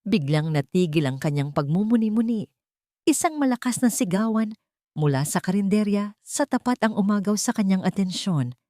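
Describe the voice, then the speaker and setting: A woman's voice: mid-range voice, expressive, normal speed, warm tone, dry recording, clean studio sound. A woman with a Filipino accent reading a scripted dramatic story as a storyteller.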